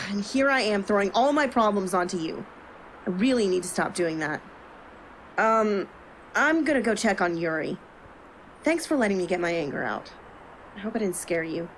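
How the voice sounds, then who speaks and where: A woman's voice: mid-range voice, animated, normal speed, pauses often, slight background noise, natural voice, rough recording. A woman playing an earnest, burdened young royal in an audio drama. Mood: apologetic.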